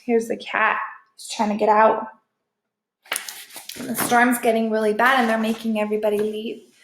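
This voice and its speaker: A woman's voice: on the high side, a bit bright. A woman teacher reading a storybook aloud and adding simple explanatory asides. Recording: echoey room.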